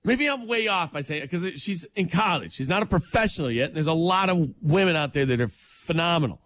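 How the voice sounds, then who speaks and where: A man co-hosting a morning radio show, talking sports in an emphatic, excited way and laughing easily. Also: fairly high pitch, brisk, no echo.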